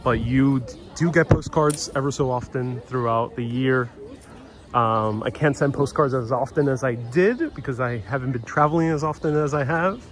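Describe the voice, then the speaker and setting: A man's voice: mid-range voice, moderate pace, crowd noise. A man from New York of Puerto Rican heritage, chatting loosely as a live-stream travel host outdoors, with many restarts. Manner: rambling, conversational, many restarts. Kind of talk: casual live-stream chat. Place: outdoors on a city street. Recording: street noise and traffic behind.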